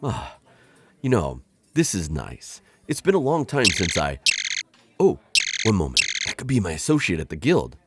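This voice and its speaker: Casting a male actor as a stiff, formal insectoid alien hunter who hesitates and stammers, with a low, swooping voice, for a dry ASMR roleplay. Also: huge pitch swings, normal speed, heavy background noise, heavily acted. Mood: earnest.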